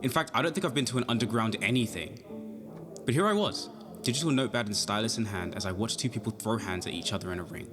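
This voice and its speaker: A man's voice: on the low side, animated, fast talker, a crowd in the background, lightly acted. A man acting as a nervous, polite young amateur detective who narrates his case in an audio drama. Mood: measured, wry. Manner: hesitant, flustered in dialogue, formal when narrating. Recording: music behind the voice.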